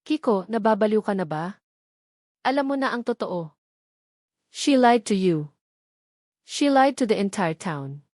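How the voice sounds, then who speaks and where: A narrator acts out a wary female political aide in a storybook romance, blunt and insistent, with a Filipino accent. The woman's voice is mid-pitched and recorded very dry. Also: animated, measured pace, pauses often, darkish tone, no echo, close to an everyday voice. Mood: incredulous. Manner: insistent, disapproving.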